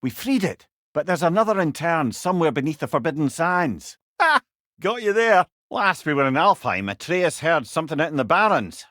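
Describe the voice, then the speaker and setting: An actor playing a wise, wry old Scottish storyteller and companion in a video game, speaking eloquently with a lilt. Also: male voice, a little high, big pitch swings, normal speed, fairly bright tone, dry recording, clean studio sound. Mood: wry, teasing. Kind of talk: video game character dialogue.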